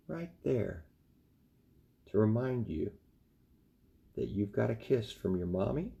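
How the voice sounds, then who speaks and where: A man in his fifties reads a children's story at bedtime. His voice is fairly low and creaky, and he goes slowly and expressively. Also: mellow. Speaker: grandfather reading a children's book. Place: small home room, indoors.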